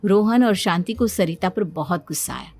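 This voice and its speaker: A woman's voice: fairly low pitch, expressive, moderate pace, a little mellow. Woman narrating a cartoon story.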